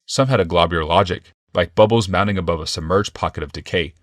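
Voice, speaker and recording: A man narrates a fantasy novel in a low voice. The recording is close and dry, in a scripted storytelling style. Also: fast talker, fairly bright tone, dry recording. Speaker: audiobook narrator.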